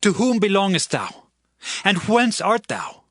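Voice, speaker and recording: A man's voice: high voice, sing-song, a little slow, no echo, clean studio sound, exaggerated voice. A man playing an earnest, pleading young warrior in a dramatized scripture reading, declaiming imploringly.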